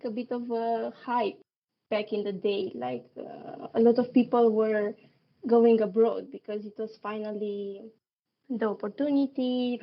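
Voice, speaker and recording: Woman, laughing, slightly high voice. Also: lo-fi sound. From Romania. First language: Romanian. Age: 30s. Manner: laughs often, pauses with fillers. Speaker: podcast guest. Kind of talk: casual interview chat.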